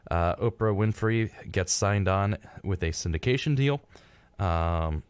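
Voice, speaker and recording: A young American man co-hosting a radio show, chatting casually and reading notes with flippant, dark humour. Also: deep voice, expressive, a little slow, clear, resonant tone, dry recording. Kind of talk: casual joking chat mixed with reading notes. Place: radio studio.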